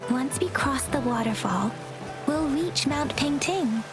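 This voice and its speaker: A woman playing a curious, composed and courteous young adventurer in a game, speaking in a measured way. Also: sits high, animated. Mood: focused. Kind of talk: scripted game dialogue. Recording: music behind the voice.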